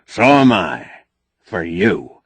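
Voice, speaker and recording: A man's voice: low-pitched, very animated, slow pace, breathy edge, no room sound, exaggerated voice. A man voicing a blunt, folksy old man who bargains shrewdly and sardonically in an audiobook novel. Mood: firm, threatening. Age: older. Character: crusty, shrewd old man, bossy, wry negotiator.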